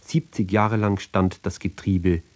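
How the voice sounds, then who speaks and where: Slow, deliberate man reading poetry. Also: low-pitched, fairly even intonation, darkish tone. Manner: deliberate, measured phrasing with pauses between short fragments. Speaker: reader of literary poems. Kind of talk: literary reading of narrative poetry with short spoken introductions.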